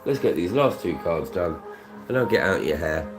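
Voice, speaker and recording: A British man with a deep voice, casually chatting on his scratch card live stream, thanking viewers by name and rambling through asides. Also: very animated, normal speed, over music.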